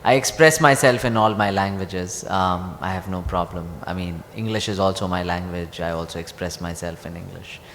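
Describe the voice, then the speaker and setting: A male author whose first language is Urdu, speaking hesitantly on a festival panel, trailing off softly. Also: deep voice, moderate pace, hardly any pauses. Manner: hesitant, many fillers and restarts, soft trailing ends. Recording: reverberant festival hall on PA, faint noise.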